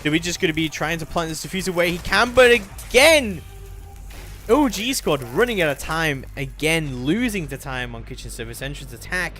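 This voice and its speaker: A man's voice: a little high, animated, moderate pace, bright, forceful tone, soft background music. Man casting a live esports match. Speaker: play-by-play esports commentator. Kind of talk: live video game match commentary.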